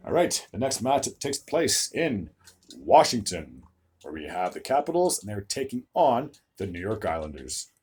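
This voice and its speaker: A young man hosting a solo hockey recap video, his voice a bit raspy from recording several videos in a row, stumbling over player names and filling gaps with "uh". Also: on the low side, darkish tone. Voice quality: slightly raspy, tired voice.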